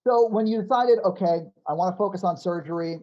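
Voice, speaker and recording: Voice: medium pitch, a little fast, dull audio. A podcast host interviewing a guest in a chatty way, thinking aloud through long questions. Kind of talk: conversational interviewing.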